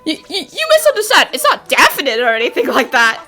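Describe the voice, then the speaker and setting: Earnest cartoon boy, played by a woman, high sharp voice, swooping and flustered, polite. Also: huge pitch swings, quick pace, faint music behind, exaggerated voice. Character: earnest, anxious, polite teenage boy assistant. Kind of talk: animated series fan dub.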